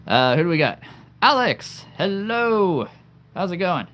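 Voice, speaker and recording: Slow, lively-voiced man chatting on stream. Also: sits high. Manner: laughs often, stammers and repeats himself. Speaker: livestream host. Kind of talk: casual livestream chat.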